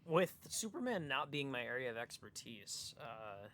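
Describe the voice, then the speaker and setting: A man co-hosting a podcast in casual chat, with a hesitant, halting way of talking. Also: medium pitch, big pitch swings, sharp tone. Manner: hesitant, halting, repeats word starts. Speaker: podcast co-host.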